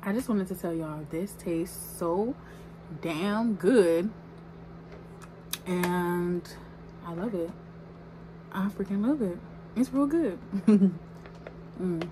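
A woman's voice: fairly low pitch, slow pace, long pauses, dark tone, slight background noise, poor audio. Chatty young woman vlogging on her phone. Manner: chatty, keeps calling out to viewers with "y'all". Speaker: wig maker and vlogger. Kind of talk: casual vlog talk to camera. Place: home wig studio, then the gym, home and a mall at night. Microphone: phone held close at arm's length.

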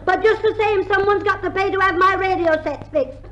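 A woman's voice: very high-pitched, brisk, fairly bright tone, highs cut off. An actress playing a cantankerous, whining woman neighbour with an Australian accent in a 1950s radio comedy. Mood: quarrelsome. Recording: faintly noisy 1950s radio recording.

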